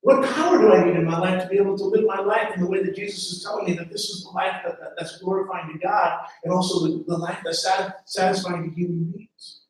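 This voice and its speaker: A man's voice: high voice, steady tone, fast, few pauses. A man preaching a sermon as pastor, earnest, often repeating words as he starts a phrase. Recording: very echoey room from a distance.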